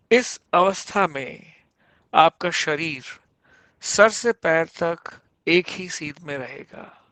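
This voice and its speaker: A man leads a guided yoga nidra session as an instructor. His voice sits a little high for a man and moves very slowly, in short instructive phrases with measured pauses. The sound is dry and close, with no room around it. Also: sharp tone, no echo.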